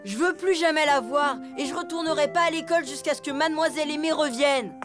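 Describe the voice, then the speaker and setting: Woman as high-voiced cheeky cartoon schoolboy. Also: fast, lightly acted. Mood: sulky. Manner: clownish, sulky, childlike. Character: cheeky, restless young elephant schoolboy. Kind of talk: French children's cartoon dialogue. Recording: music underneath.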